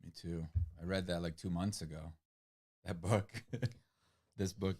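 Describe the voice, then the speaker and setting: A man's voice: deep voice, a little slow, husky. A man who speaks English as a second language, co-hosting a book club livestream and chatting casually with many "like" fillers. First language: not English. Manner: rambling, many "like" fillers, animated retelling. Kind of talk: casual chat.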